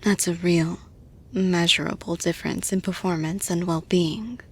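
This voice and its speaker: A woman playing a caring, persuasive boss in an intimate audio roleplay, soft-spoken and breathy, often dropping to a whisper, deliberate and reassuring. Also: lowish voice, fairly even intonation. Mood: calm, earnest. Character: caring, persuasive female boss offering support to employee.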